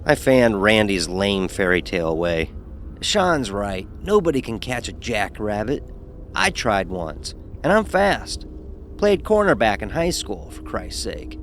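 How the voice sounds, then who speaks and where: A cocky, sneering young frat pledge played by a man narrates a horror tale in a low voice. He is sarcastic and dismissive, and there is clear ambient noise behind him. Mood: cocky, scornful. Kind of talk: first-person horror story narration with acted dialogue.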